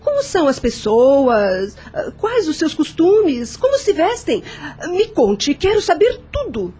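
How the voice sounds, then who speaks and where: An actress playing an elegant, mature landowner's widow in a radio drama, speaking in a formal, expressive, gracious way. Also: female voice, sits high, unhurried, heavily acted. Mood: eagerly curious. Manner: formal, theatrical, expressive delivery.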